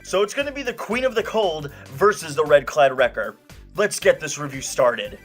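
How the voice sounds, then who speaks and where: A man's voice: fairly high pitch, lively intonation, normal speed, faint music behind. A man hosting and narrating a scripted film review in a wry, conversational, emphatic way. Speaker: film reviewer, show host.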